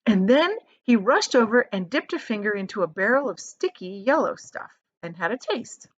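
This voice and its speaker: A woman reads a storybook aloud in the manner of a teacher. Her pitch is lively and varied, and she leans on descriptive words for drama. The recording is a little dull. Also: normal speed. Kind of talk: expressive storybook read-aloud with asides.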